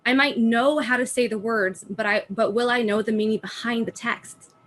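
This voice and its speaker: A woman's voice: on the high side, fairly even intonation, moderate pace, a bit bright, a little hiss or hum. A woman student presenting a prepared talk over a video call, telling a story and asking rhetorical questions. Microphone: video call microphone.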